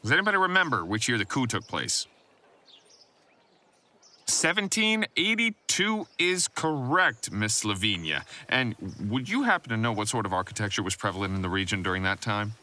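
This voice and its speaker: A man's voice: on the low side, very animated, moderate pace, bright, forceful tone, exaggerated voice. A man voicing a prim, learned professor who lectures formally and precisely on a field trip in an audio drama. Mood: lecturing, approving.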